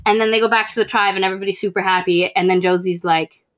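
A woman's voice: medium pitch, steady tone, quick pace. A young woman co-hosting a podcast, recapping and critiquing books in casual chat. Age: young adult. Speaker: podcast co-host.

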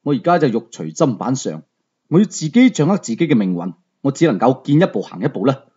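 A man's voice: medium pitch, sing-song, a little fast, mellow, husky, muffled recording. A man with a Hong Kong Cantonese accent narrating a novel as a dramatic storyteller. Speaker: audiobook storyteller.

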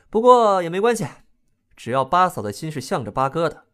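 Man acting a young prince, animated pitch, playful, teasing, breezy, audio drama. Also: moderate pace. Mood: amused, probing.

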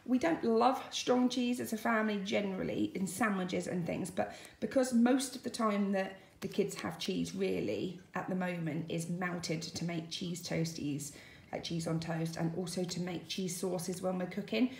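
A woman's voice: lowish voice, normal speed, gravelly. A young woman, chatting casually at home as she shows off her grocery shopping item by item. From United Kingdom. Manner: chatty and homely, lists items. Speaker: vlogger showing a grocery haul. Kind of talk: casual chat to camera. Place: home dining room, indoors. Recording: echoey room, faint hiss.

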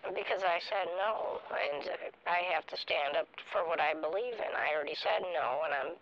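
A prim, stubborn old lady in her eighties, unhurried and unbothered, as a man puts her on for a prank call. The voice sits high for a man and comes through a dull, lo-fi line. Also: slight background noise, lightly acted. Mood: prim, firm. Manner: slow, mild and unbothered. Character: prim, stubborn elderly woman of eighty-one. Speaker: caller baiting a scammer.

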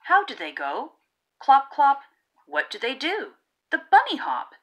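A woman reading a children's picture book aloud in a rhythmic, theatrical way with drawn-out emphasis. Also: high voice, huge pitch swings, a bit bright. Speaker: read-aloud narrator of children's picture books. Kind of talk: expressive storybook reading.

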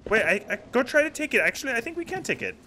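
A man chatting casually while playing a co-op game, excitable and jokey, often exclaiming. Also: very high-pitched, racing. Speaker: gaming video host and player. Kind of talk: casual chat during co-op game play. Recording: loud game noise and clicks behind. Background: pirate video game sounds.

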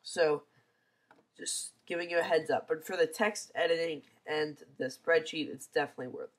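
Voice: mid-range voice, moderate pace. A teenager with a stuffy, congested nose from a cold gives a casual, hesitant screencast review, full of ums, over a computer mic. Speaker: software reviewer.

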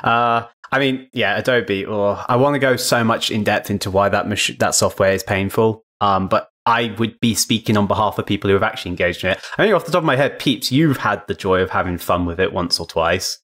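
A man's voice: on the low side, a little fast, hardly any pauses, fairly bright tone. A man hosting an online live panel in casual, rambling chat. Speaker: panel host. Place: online voice call.

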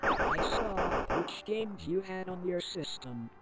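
An eager, fawning axolotl desktop pet, gliding up and down in pitch at a slow, deliberate pace. The computer game's music plays clearly behind it. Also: sing-song. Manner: eager, fawning, sing-song synthetic delivery. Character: cheerful pet axolotl desktop assistant, male. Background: game music.

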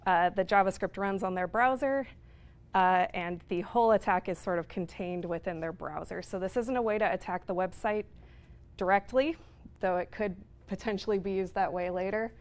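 A woman's voice: medium pitch, normal speed. Woman giving a technical security talk. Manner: explanatory, with frequent fillers. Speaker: conference speaker. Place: lecture room with projector screen.

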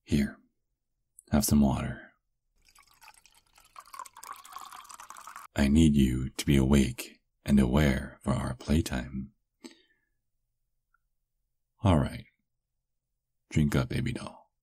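A man playing a doting, possessive vampire lover in an intimate ASMR roleplay, murmuring softly and coaxingly. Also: very deep voice, flat delivery, a little slow, husky, no echo, full character voice. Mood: tender, coaxing.

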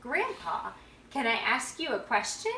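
A woman's voice: a little high, big pitch swings, unhurried, sharp tone, recorded from a distance, voice pushed up, full character voice. A woman reading a storybook as a curious, giggly young boy with eager questions. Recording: roomy home recording with background noise.

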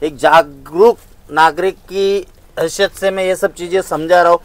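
A fairly high-pitched man in his thirties talks up his wares with emphatic, persuasive conviction. He walks and gestures through a large indoor showroom as he speaks. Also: fairly even intonation, normal speed, no room sound. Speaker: saree wholesaler. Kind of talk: sales pitch in a shop interview.

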